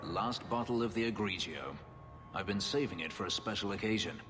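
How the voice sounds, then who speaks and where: A man's voice: lowish voice, a little fast, in a large hall, background noise, close to an everyday voice. An actor playing a brooding, wry male escaped slave who tells his story dryly in a fantasy video game. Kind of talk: cinematic game dialogue, storytelling.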